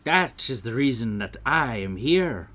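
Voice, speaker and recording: A man's voice: medium pitch, very animated, measured pace, a bit bright, pitched above the performer's own voice. A man voicing a polite, merry little leprechaun in a storybook tale, with courteous, exclamatory speech. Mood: concerned, pleading.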